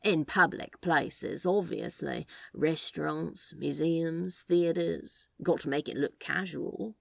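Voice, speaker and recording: A woman's voice: sits low, fairly even intonation, slow, vocal fry, no echo, clean studio sound, full character voice. A woman voicing a sly, laid-back male office worker who plays at being a spy, with a drawling, hesitant, conspiratorial manner. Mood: teasing.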